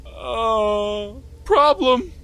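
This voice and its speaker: A man's voice: very high-pitched, expressive, very slow, fairly bright tone, exaggerated voice. An actor playing a dim, laid-back teenage male wannabe cultist in a comedic horror audio drama, with earnest, hesitant slacker slang. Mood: excited, whiny.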